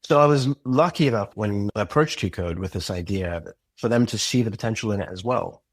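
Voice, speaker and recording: Thoughtful low-voiced man. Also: fairly quick, dry recording. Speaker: podcast guest, audio drama creator. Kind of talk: reflective interview talk.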